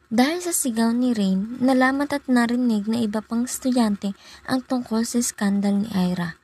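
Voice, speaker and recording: Husky, higher-voiced young Filipino woman narrating. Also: normal speed, darkish tone. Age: young adult. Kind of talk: scripted storytelling narration for a student radio drama.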